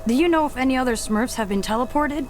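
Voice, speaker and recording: Plucky little girl Smurf for a cartoon game, voiced by a woman: fairly high pitch, music under the lines. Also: quick pace, close to an everyday voice. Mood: earnest, concerned. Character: small plucky female cartoon Smurf named Storm. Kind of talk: video game cutscene voice acting.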